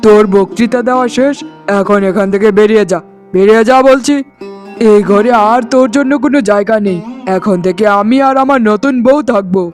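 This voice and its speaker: A man's voice: high voice, brisk, soft background music, natural voice. A man voicing a cruel, hot-headed husband in a cartoon melodrama, with an emphatic, scolding and boastful manner. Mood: angry, contemptuous. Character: cruel, hot-headed husband, doting on his new bride.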